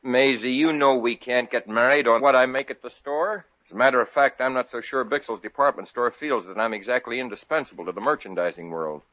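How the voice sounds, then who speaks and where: An actor playing a put-upon, excitable young store clerk and boyfriend in 1940s radio comedy style. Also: male voice, mid-range voice, brisk, hardly any pauses, no echo, lightly acted. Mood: grumbling. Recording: dull old radio recording.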